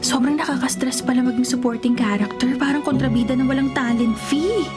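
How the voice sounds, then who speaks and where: An actress plays a Filipino office assistant in a radio drama, a reluctant accomplice. She is cheeky and wry, complaining with exaggeration over clearly audible music. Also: sits high, a little fast, lightly acted. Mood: exasperated.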